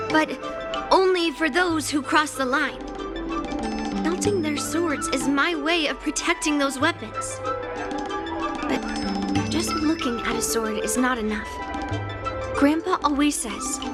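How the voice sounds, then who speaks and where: A woman playing a cheerful, confident young swordswoman in video game story dialogue, speaking in a frank, friendly, breezy manner over music. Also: high-pitched. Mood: earnest, resolute. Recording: background music behind the voice.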